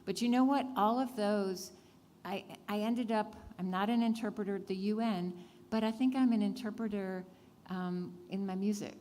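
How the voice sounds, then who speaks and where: A woman born in Switzerland whose first language is German, a singer-songwriter who leads a sing-along and then talks softly with fillers in a live radio session. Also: medium pitch, steady tone, normal speed, big-room sound, slight background noise. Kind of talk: live song with spoken interview. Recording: live radio studio with guitar.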